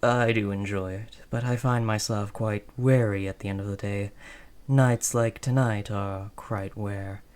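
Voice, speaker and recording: Low-voiced courtly gentleman footman, played by an audio performer. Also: fairly even intonation, a little slow. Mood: polite, chatty. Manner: formal, old-fashioned, polite phrasing. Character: courtly, gentle male footman, secretly a werewolf, flirtatious. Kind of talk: romantic dark fantasy audio roleplay.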